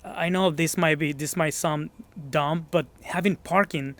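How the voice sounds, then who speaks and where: A man's voice: a little high, fairly even intonation, measured pace, fairly bright tone. A man from Mexico with a Mexican accent, speaking English as a second language in a casual gym interview, modest and searching for words. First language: Spanish. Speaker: gym member being interviewed.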